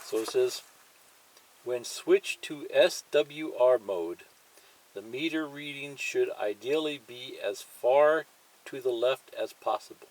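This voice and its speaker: A man's voice: medium pitch, slow, rough recording. A man giving a plain-spoken, step-by-step hands-on demonstration, pointing things out and repeating himself as he goes. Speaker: hobbyist instructor. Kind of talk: step-by-step hands-on tutorial demonstration, partly reading a manual aloud.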